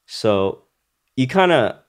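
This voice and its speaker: A man's voice: mid-range voice, big pitch swings, slow, smooth. A man in his thirties who grew up in Hong Kong, a podcast guest telling his life story in loose, casual talk full of "you know" and "right?". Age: 30s.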